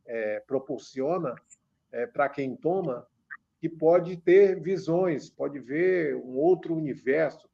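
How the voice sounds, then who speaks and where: A Brazilian man in his fifties, a guest on a radio interview, explaining at a measured pace with many filler pauses. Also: fairly high pitch, vocal fry, darkish tone, breathy edge. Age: 50s. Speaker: author and religious researcher guest. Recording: dull lo-fi radio sound.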